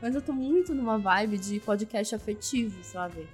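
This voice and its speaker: A woman podcaster chatting casually in an animated, self-deprecating way. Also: medium pitch, normal speed, faint music behind.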